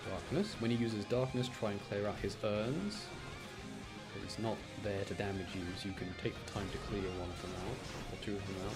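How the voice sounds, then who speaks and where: A man giving casual gameplay commentary in an excitable way, repeating phrases for emphasis as he shows clips. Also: fairly low pitch, brisk, loud music behind the voice. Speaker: gamer showing gameplay clips.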